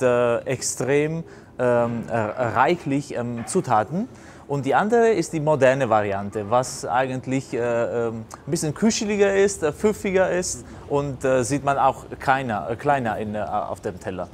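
A middle-aged Italian-accented man, a native Italian speaker, gives unscripted interview answers at a medium pitch. He searches for words in his second language, with clear ambient noise behind him on a lapel mic. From Basilicata, southern Italy. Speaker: restaurant operator, interviewee. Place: indoors in a restaurant bar.

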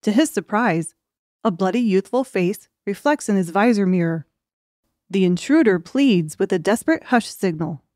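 A woman narrates a story in a scripted audiobook style. Her voice sits at a middle pitch. The recording is dry, with no room sound. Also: lively intonation, moderate pace, a little mellow, dry recording.

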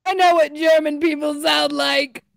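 A woman's voice: high-pitched, fairly even intonation, measured pace, gravelly, no echo. A woman playing a tabletop game, joking and teasing in crude, casual banter.

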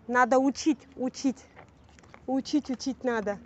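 A talkative woman in her thirties records herself on a handheld camera while walking around a city. She speaks a little high, laughs easily and exclaims often. The audio is dull and lo-fi, with clear traffic and street noise behind her. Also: steady tone, a little slow, a little mellow. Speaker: vlogger. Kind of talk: casual travel vlog. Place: outdoors in a city and indoors in a museum. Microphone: handheld camera at arm's length.

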